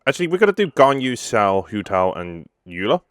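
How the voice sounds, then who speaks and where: A woman's voice: rumbling low, sing-song, brisk, a little hiss or hum. A woman giving casual let's-play commentary as a gaming streamer.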